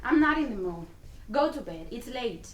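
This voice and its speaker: A woman's voice: fairly high pitch, big pitch swings, moderate pace. A woman playing a weary, wounded and guarded Cuban immigrant mother in a dramatic play reading, exasperated and pleading. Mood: weary, stern. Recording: distant mic, faint room noise.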